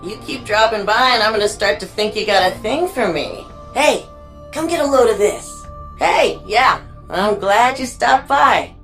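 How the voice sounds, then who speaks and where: A woman playing a chatty, curious and warm archivist in a sci-fi video game, with an informal, playful, flirty way of talking. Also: mid-range voice, expressive, measured pace, soft background music. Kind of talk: naturalistic video game dialogue.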